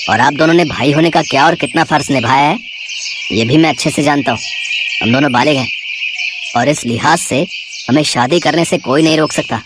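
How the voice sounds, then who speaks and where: A man's voice: on the high side, a little fast, noisy background, lightly acted. A man playing an earnest, confident young suitor in a story, speaking firmly. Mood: firm, defiant. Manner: earnest, firm.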